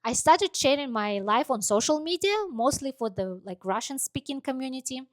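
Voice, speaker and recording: A woman from Kazakhstan, telling her life story as a vlogger in halting full of "like" fillers. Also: sits high, animated, no room sound, studio quality. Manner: halting non-native English, many "like" fillers. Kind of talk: personal life story monologue.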